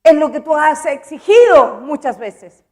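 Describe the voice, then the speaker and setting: Woman preacher with a high voice, emphatic and repetitive, asks the congregation questions. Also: animated, unhurried, darkish tone. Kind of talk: sermon.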